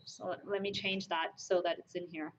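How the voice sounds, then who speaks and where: A woman's voice: on the low side, flat delivery, normal speed, a little hiss or hum. A woman from Germany teaching a math class, talking emphatically and asking the students rhetorical questions. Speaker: math lecturer. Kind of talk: classroom lecture. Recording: lo-fi lecture capture.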